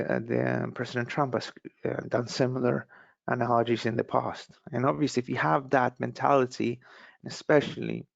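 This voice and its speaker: A man from Sweden talks through market commentary in a loose, rambling way, often restarting his sentences. His voice has a gravelly edge, and the recording sounds dull, dry and close. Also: medium pitch, no echo. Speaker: market analyst.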